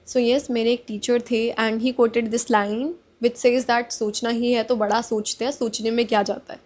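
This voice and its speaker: Young indian woman, calm polite soft voice, kinda high, chatty vlog reflecting. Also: rapid-fire. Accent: Indian English. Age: young adult. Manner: mixes Hindi and English. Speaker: vlogger. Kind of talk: casual reflective vlog talk.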